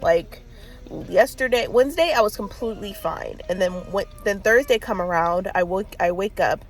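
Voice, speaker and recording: Stuffy-nosed woman in her 30s rambling on her book vlog, lots of um and like, little laughs. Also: medium pitch. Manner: chatty, rambling, many fillers and laughs. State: congested with a cold. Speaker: book vlogger. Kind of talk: casual vlog chat. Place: in a car.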